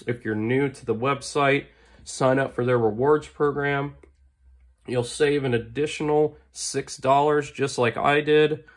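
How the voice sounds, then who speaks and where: A man with a hoarse, worn voice still on the mend, talking at a desk in an easy, keen show-and-tell way. He clears his throat often and leans on fillers while he enthuses. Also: medium pitch. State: hoarse, recovering lost voice. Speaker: knife channel host. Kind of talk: casual product show-and-tell. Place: indoors at a desk. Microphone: overhead camera on table, hands only.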